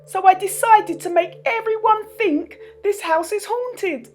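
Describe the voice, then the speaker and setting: A woman's voice: very high voice, measured pace, darkish tone, slight background noise, pitched above the performer's own voice. A woman reading a children's storybook, voicing a timid, funny little man who is meek and pleading. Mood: pleading, confessing. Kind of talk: children's storybook read-aloud.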